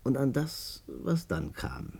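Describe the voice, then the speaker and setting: An actor playing a chatty, plain-spoken, good-hearted barber in a colloquial, familiar manner in a 1950s German radio play. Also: male voice, medium pitch, huge pitch swings, slow, a little mellow, breathy edge, full character voice. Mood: confiding.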